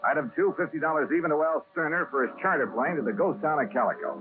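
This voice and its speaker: An actor playing a wry insurance investigator with clipped, dry wit in an old-time radio detective drama. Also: male voice, fairly high pitch, fast talker, lightly acted. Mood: dry narrating. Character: wry freelance insurance investigator, adult man. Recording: tinny vintage radio recording, music behind.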